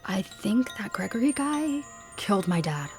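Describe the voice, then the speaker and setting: A woman's voice: medium pitch, sing-song, moderate pace, faint music behind. An actress playing a grieving, anxious young farm woman in an audio drama, suspicious and defiant. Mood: troubled, hesitant.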